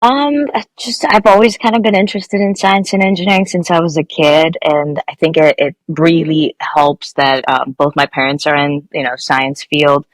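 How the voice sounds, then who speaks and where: A woman's voice: deep voice, fairly even intonation, moderate pace, few pauses, no echo. A young woman from Nepal, a guest engineer, answering interview questions casually with many fillers and restarts.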